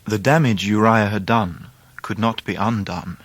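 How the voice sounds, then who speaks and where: An actor playing a reflective grown man who narrates his own life in a literary radio drama, with an English accent and measured delivery. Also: fairly low pitch, fairly even intonation, a bit bright, no room sound.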